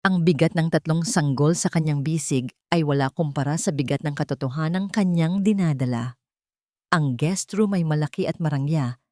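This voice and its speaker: A woman's voice: deep voice, warm tone, no echo, clean studio sound. A woman with a Filipino accent reading a scripted audio drama as a dramatic storyteller.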